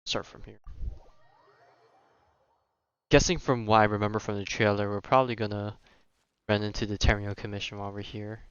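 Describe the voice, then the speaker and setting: Young man, low smooth voice, slangy gameplay chat, thinking aloud, dry slightly dull mic. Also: level tone, moderate pace, long pauses, fairly bright tone, no room sound. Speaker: game streamer. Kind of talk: casual live gameplay chat.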